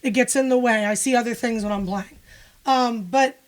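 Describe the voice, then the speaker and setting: A woman's voice: on the high side, moderate pace. A woman from Pennsylvania, a musician and music teacher, speaking frankly and wryly in a casual interview. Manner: frank, matter-of-fact, wry.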